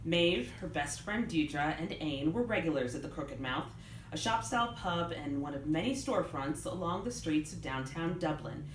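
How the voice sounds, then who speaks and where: A woman author giving an expressive live reading of her novel to a room. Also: low-pitched, moderate pace. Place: indoors in a bookstore. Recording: roomy live event, background noise. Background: room ambience, clear background noise.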